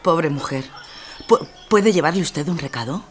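Actriz como mujer andaluza amable, voz grave y expresiva. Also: female voice, low-pitched, lively intonation, moderate pace, a little hiss or hum. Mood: kind, confiding. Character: kind, discreet woman serving food to neighbours. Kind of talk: audio drama acting.

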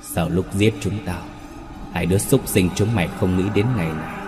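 Man doing a vengeful male ghost, deep, hollow, ominous, horror story, music behind. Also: steady tone, normal speed, lightly acted. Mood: menacing.

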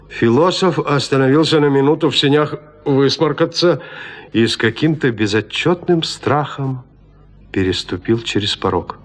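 A male narrator reads classic prose for an audio drama. His voice is medium in pitch, and he tells the story in a measured, composed way.

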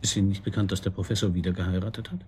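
An actor playing a wry, probing police detective in a radio drama, with a husky voice and a dry, colloquial manner. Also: male voice, low voice, steady tone, very fast, darkish tone, slight background noise, natural voice. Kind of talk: naturalistic German radio drama acting.

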